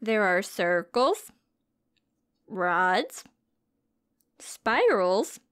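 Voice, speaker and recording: A woman's voice: mid-range voice, very animated, extremely slow, a bit bright, clear, resonant tone. A woman reading a storybook aloud to young children as a teacher, expressively, with simple questions and explanations.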